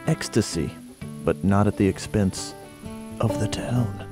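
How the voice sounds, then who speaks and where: A man with a dark, mellow voice narrates a historical documentary. He tells it deliberately, in storyteller fashion, over faint plucked guitar. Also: on the low side.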